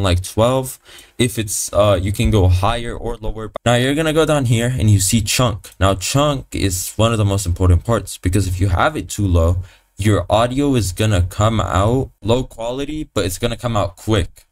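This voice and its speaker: A young man with a fairly low voice walks through a software tutorial. He keeps it casual and slangy, swearing now and then. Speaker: tutorial presenter. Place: voice-over on a computer screen recording.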